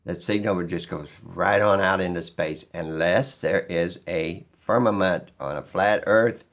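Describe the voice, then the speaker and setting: Slow-talking man with a gravelly, creaky voice. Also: deep voice, fairly bright tone. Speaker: video creator. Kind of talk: unscripted opinion monologue.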